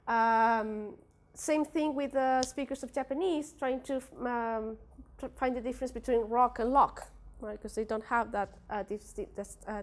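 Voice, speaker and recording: Spanish-accented woman giving a hesitant talk. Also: fairly high pitch. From Spanish-speaking country. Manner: hesitant, many fillers and restarts. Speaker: researcher presenting at a workshop. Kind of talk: academic presentation.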